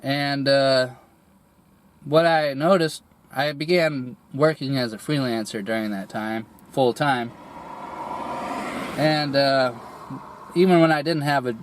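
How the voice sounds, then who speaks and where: A young man getting over a cold, his voice a little raspy, telling a personal story slowly with many pauses, fillers and throat clearing. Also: mid-range voice, a little hiss or hum. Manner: hesitant, rambling, many fillers and restarts, clears throat often. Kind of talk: candid personal monologue.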